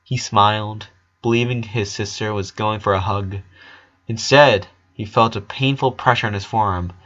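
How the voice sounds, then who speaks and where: A man's voice: on the low side, fairly even intonation, normal speed, a bit bright, highs cut off. A man reading a story aloud as its narrator, in scripted prose.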